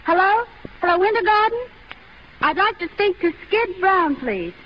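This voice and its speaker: A woman's voice: extremely high pitch, lively intonation, moderate pace, slight background noise. A woman acting in a 1930s radio drama as a plainspoken, wistful young showgirl. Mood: eager, guarded. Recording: muffled 1930s radio broadcast.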